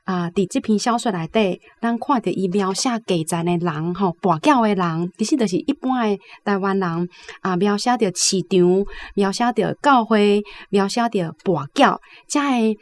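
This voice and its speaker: A woman's voice: medium pitch, normal speed, hardly any pauses. A woman with a Taiwanese accent giving conversational, explanatory literary commentary as a programme guest.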